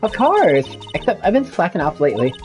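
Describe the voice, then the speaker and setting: A man voicing a bubbly, naive young female spirit medium sidekick in a video game, with an excitable, dramatic manner. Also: high voice, brisk, faint music behind, voice pushed up. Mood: eager.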